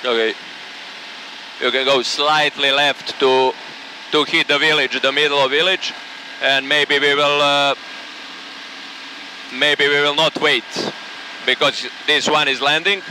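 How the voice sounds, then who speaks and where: A man chatting in the cockpit as a pilot, relaxed and halting, repeating words as he guides a companion. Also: a little high, a little hiss or hum, rough recording. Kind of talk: casual cockpit talk.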